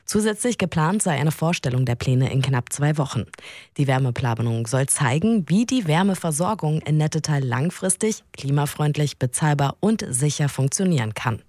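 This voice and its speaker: A hoarse woman reads the radio news in a measured, even way. Her voice is low and the studio sound is dry and close. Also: no room sound. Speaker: newsreader.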